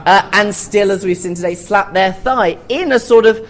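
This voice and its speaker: A man's voice: high voice, moderate pace, soft background music. A man speaking as a panellist in an informal but informed discussion, explaining things articulately. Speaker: panellist, theatre commentator. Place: theatre, live panel discussion. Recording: live recording with background room noise.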